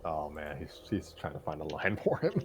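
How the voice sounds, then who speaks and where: A man's voice: rumbling low, sing-song, moderate pace, slight background noise. A man hosting a live story reading, switching between scripted narration and casual chat, sometimes stumbling over his lines.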